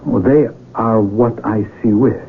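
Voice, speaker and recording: An actor playing a polite, bewildered young mountaineer in a radio drama, hesitant and earnest with exclamations. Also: male voice, on the low side, fairly even intonation, a little slow, vocal fry. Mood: astonished. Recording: narrow-band noisy old radio drama recording.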